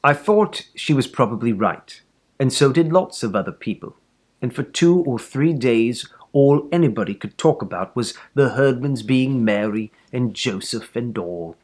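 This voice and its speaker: Animated man reading a children's story. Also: medium pitch, unhurried. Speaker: story reader. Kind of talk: expressive children's book narration.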